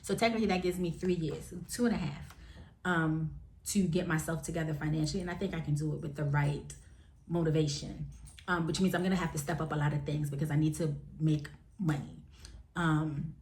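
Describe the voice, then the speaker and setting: Low-voiced frank woman in her forties. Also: a little fast, a little hiss or hum. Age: 40s. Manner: chatty and frank, addresses viewers as y'all; lively, with sudden jumps up in pitch. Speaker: vlogger. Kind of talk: candid casual chat to camera.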